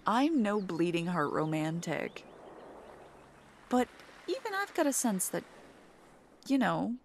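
A young woman doing a soft, playful, teasing ASMR girlfriend roleplay. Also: fairly low pitch, very animated, slow pace, slight background noise.

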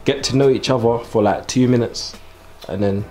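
A man's voice: mid-range voice, moderate pace, darkish tone. Young British male host, music behind. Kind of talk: casual chat, slangy.

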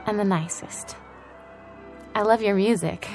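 A woman acting in a radio drama as a gentle, sincere young woman who is shyly smitten and hesitant. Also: mid-range voice, unhurried, soft background music, close to an everyday voice. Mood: shy, admiring. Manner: soft-hearted, hesitant, sincere.

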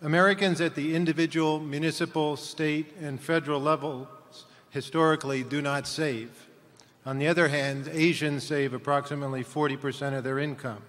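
A man in the audience of a large auditorium reading out a prepared question in formal, deliberate phrases. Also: a little high, fairly even intonation, a bit bright. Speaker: audience member asking a question. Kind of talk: prepared question read aloud. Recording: echoey large hall sound.

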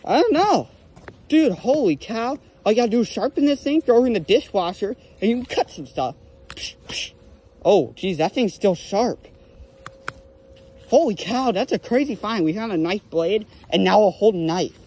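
Young male vlogger, high lively voice, mellow tone, excitable outdoor commentary, faint ambience. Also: normal speed, slight background noise. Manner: excitable, exclaims over finds. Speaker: magnet fishing vlogger. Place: outdoors on a lake dock. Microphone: handheld phone or body camera.

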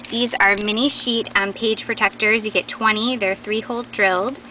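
A woman with a mid-pitched voice hosts a casual, unscripted product tour. She talks in a chatty, informal way. The sound comes from a handheld camera in a warehouse, with clear background noise. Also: fairly even intonation, moderate pace. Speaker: host showing new craft store products. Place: large indoor warehouse aisles. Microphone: handheld camera's built-in mic.

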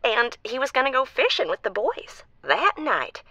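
A woman's voice: sits high, big pitch swings, moderate pace, pushed and bright, dry recording, highs cut off, exaggerated voice. An actress playing a chatty, jilted small-town woman calling a radio advice show, earnest and a little folksy. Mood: hesitant, confiding.

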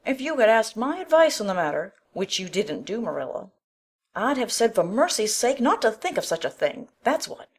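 Woman doing a nosy older village gossip, audiobook drama, voice on the high side and all over the place, blunt, folksy. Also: sing-song, moderate pace, breathy edge, full character voice. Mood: scolding, alarmed. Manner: blunt, scolding, dramatic, old-fashioned folksy phrasing.

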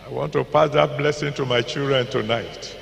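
Older nigerian pastor, slow gravelly voice, handheld mic, big echoey auditorium. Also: male voice, mid-range voice, fairly even intonation, fairly bright tone, slight background noise. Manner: slow and deliberate, repeats scripture references, decrees blessings. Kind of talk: sermon. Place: large packed auditorium. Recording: echoey hall with long reverb.

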